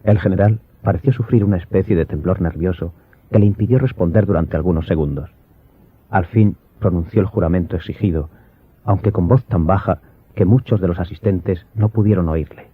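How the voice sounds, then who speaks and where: Audiobook narrator, male, very low and rough-edged, scripted delivery, muffled recording. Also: fairly quick, no echo. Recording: dull lo-fi sound, faint music behind.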